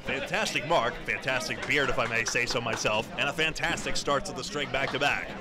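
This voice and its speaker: A man's voice: medium pitch, fairly quick, loud music behind the voice. A man with a New England accent giving chatty, joking analysis during a live candlepin bowling broadcast. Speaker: color commentator. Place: bowling alley. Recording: crowd noise behind.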